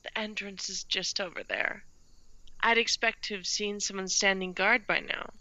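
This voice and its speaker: A woman's voice: medium pitch, steady tone, normal speed, pushed and bright, lo-fi sound, a little hiss or hum. A woman playing a cool, commanding demon in an audio drama, terse, dry and wry. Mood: grim.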